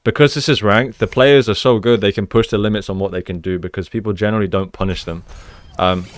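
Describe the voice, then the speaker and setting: A man's voice: lowish voice, steady tone, fast talker, slight background noise. A man giving casual, conversational commentary as he analyses gameplay like a coach, explaining each move.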